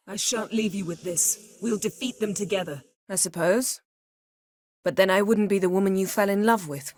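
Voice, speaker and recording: A woman's voice: medium pitch, no echo, studio quality, lightly acted. A woman voicing a proud, commanding, sardonic sorceress in a fantasy video game, with formal, cool, clipped diction. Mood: quietly intimate. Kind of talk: video game voice acting, scripted dialogue.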